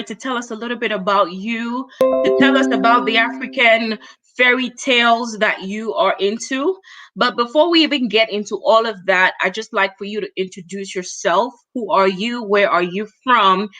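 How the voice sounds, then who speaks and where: A woman hosting a casual live interview, talkative and informal, addressing her guest and viewers directly. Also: fairly high pitch. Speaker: host.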